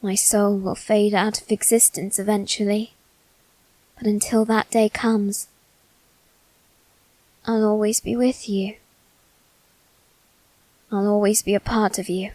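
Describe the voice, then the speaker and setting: A woman playing a wounded, possessive teenage boy aristocrat in an ASMR roleplay, speaking haltingly with long pauses. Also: mid-range voice, flat delivery, darkish tone, no echo, poor audio. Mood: solemn, devoted. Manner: slow, halting, measured delivery with long pauses. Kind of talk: ASMR character roleplay, scripted drama.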